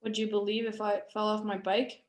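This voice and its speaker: A woman plays a battered, stubborn boy out for revenge in a screenplay table read. The voice is plain and mid-pitched, with a defiant temper. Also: flat delivery, fairly quick, natural voice. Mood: evasive.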